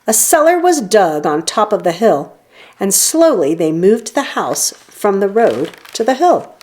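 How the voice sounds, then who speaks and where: Woman reading a storybook with lively pitch. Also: unhurried. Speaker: storybook reader. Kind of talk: children's read-aloud narration.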